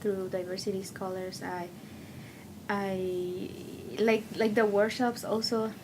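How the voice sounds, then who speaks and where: A woman's voice: level tone, slow pace. A young woman from Mexico with a Mexican Spanish accent, whose first languages are Spanish and Otomi, answering interview questions as a student in a cheerful way. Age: young adult. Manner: cheerful, laughs often. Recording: noisy recording with low rumble.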